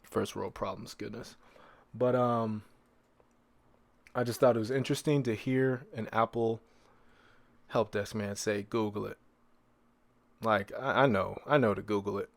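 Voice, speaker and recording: A man's voice: fairly low pitch, steady tone, a little slow, gravelly, pauses often, no room sound. Casual male podcaster, dry close sound. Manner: conversational, rhetorical, repeats phrases for emphasis. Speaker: podcast host. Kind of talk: casual solo podcast monologue.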